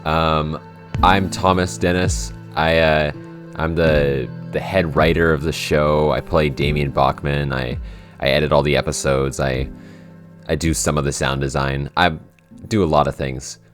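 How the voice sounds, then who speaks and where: A man with an extremely low voice hosts a casual podcast question-and-answer session. He talks hesitantly, stopping and restarting his sentences, with plenty of fillers along the way. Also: fairly even intonation, measured pace, soft background music. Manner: hesitant, many restarts and fillers, laughs easily. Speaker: podcast head writer and editor, co-host.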